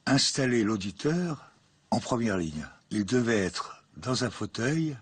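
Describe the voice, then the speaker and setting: A man speaking in a measured, reflective way in a documentary interview. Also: medium pitch, very animated, breathy edge, dry recording. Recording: music playing under the voice.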